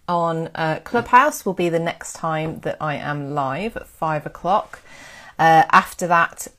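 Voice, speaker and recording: Lady chatting on her history livestream, bit low-pitched, excitable, thanks everyone. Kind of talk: casual live-stream chat.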